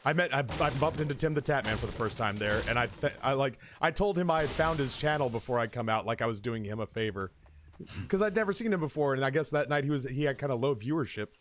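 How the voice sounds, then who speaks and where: A man streaming games who tells comic stories casually, in an animated, exaggerating and profane way. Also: medium pitch, fast, background noise. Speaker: game streamer. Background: music in the background.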